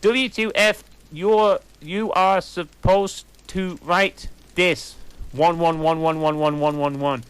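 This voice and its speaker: A man's voice: on the high side, fairly even intonation, takes it slowly, fairly bright tone, smooth, no echo, voice pushed up. A man voicing a ditzy teenage girl author, airy and drawn out.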